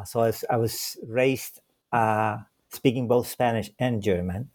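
A man's voice: on the low side, a little mellow. A man from Chile, a scholar guest in an interview, talking in a hesitant way with many repeated words and fillers. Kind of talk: conversational interview.

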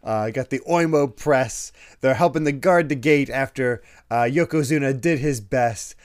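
A man hosting a casual podcast, his voice a little croaky from a recent illness. He laughs often and retells scenes in quick quoted voices. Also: mid-range voice. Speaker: podcast host.

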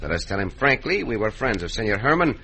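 An actor playing a courteous, confident Mexican cowboy hero in a radio western, speaking formally with a Mexican accent. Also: male voice, on the low side, very animated, gravelly, pushed and bright, slight background noise, full character voice. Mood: calm, scheming. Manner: formal, courteous, deliberate phrasing. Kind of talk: old-time radio western acting.

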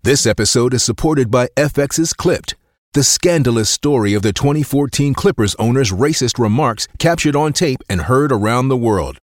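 Male announcer, animated pitch, dry close sound, dramatic promo read. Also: normal speed, no echo.

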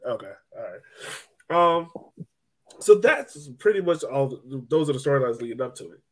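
A man's voice: medium pitch, sing-song, normal speed, rough recording. A man hosting a podcast, chatting casually about sports. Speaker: podcast host.

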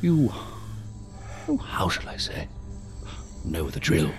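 An actor playing a condescending, ornately courteous ambassador in a science fiction audio drama, with deliberate, mocking phrasing. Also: male voice, sits low, huge pitch swings, over music, heavily acted. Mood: commanding, formal. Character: theatrical, silky, condescending ambassador of a secret power.

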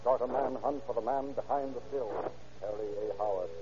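A man narrating a crime radio drama in a brisk, dramatic storytelling manner. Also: medium pitch, dark tone. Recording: dull vintage radio recording.

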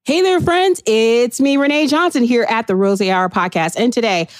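A woman's voice: a little high, moderate pace, dry recording, clean studio sound, soft background music. A woman hosting a podcast interview, effusive and full of praise for her guests. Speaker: podcast host.

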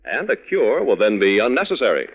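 A man's voice: mid-range voice, wildly swooping pitch, fairly quick. A man announcing on 1940s radio in a scripted, folksy, instructive and emphatic style. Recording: old narrow-band radio recording.

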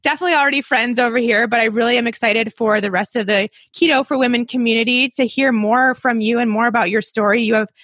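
A woman podcast host with a fairly high voice and a very dry, close sound. She chats casually with her guest and gushes with enthusiasm. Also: fairly even intonation, quick pace, hardly any pauses, a bit bright, dry recording. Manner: enthusiastic, gushing. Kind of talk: casual interview chat.

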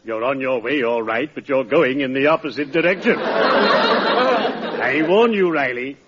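A man's voice: mid-range voice, animated, unhurried, a loud crowd, lightly acted. A man playing a friendly, solemn undertaker in 1940s radio comedy, stately and formal, relishing morbid humor. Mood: morbid glee. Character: friendly, solemn undertaker who gleefully tells morbid puns. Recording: dull, noisy vintage radio recording, audience.

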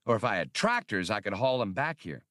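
A man's voice: on the low side, very animated, brisk, a bit bright, no echo, studio quality. A man voicing a cocky, daring space smuggler pilot with a brash, taunting manner in an audiobook. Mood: frustrated. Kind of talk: dramatized audiobook character voice.

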